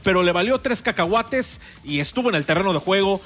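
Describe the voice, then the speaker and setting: A man's voice: high voice, lively intonation, fairly quick, a bit bright. A man with a Mexican accent hosting a radio sports show in casual chat, chatty with fillers and restarts. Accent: Mexican Spanish. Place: radio studio.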